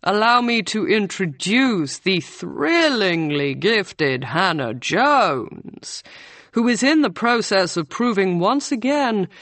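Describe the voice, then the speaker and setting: A woman's voice: medium pitch, fairly bright tone, no room sound. Woman doing a pompous drawling Oxford don. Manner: grand, drawling, theatrical. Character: pompous male Oxford tutor, older, theatrical.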